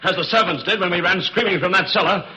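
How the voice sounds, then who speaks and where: A man's voice: sits high, quick pace, bright, forceful tone, lo-fi sound. An actor playing an earnest, anxious young man in an old radio horror drama, speaking with urgency. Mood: urgent. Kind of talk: 1940s radio horror acting. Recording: hissy old radio recording.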